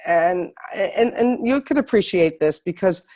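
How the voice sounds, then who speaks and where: Low, dark-voiced woman hosting, hoarse. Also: expressive. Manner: halting, with many restarts. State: hoarse from a cold. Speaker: host. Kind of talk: online symposium interview.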